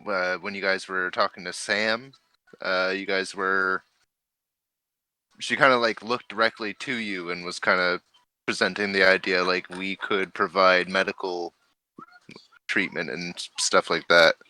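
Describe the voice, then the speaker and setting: Very bright, low-voiced male game master. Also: steady tone, a little slow, no echo, rough recording. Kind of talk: casual tabletop game discussion.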